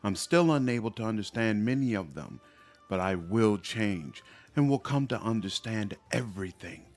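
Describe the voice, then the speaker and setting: Man as a gentle, remorseful reformed demoness. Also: lowish voice, expressive, moderate pace, faint music behind, natural voice. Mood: wondering.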